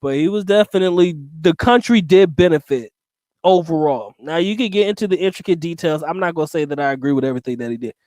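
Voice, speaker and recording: Man, middle-aged, casual livestream host, rambling, slightly high voice, dry close sound. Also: animated, quick pace, a little mellow, no echo. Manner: loose and rambling, addresses viewers directly. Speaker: channel host reading live chat.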